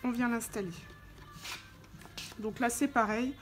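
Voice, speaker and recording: High-pitched woman presenting a tutorial. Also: steady tone, measured pace. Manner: informal step-by-step demonstrating, talking while handling parts. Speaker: tutorial presenter for a motorcycle gear channel. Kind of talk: hands-on product tutorial, unscripted explanation. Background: background music.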